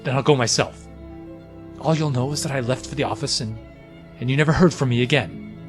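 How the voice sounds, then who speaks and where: A man's voice: medium pitch, fairly quick, soft background music, close to an everyday voice. An actor playing a weary, frightened husband and father in an audio drama, pleading and defensive. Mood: resigned, desperate.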